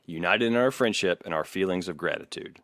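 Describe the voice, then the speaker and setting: A man's voice: low-pitched, a little fast, a bit bright, no echo, clean studio sound. A man hosting a casual music podcast, with a wry, sardonic manner. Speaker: podcast host.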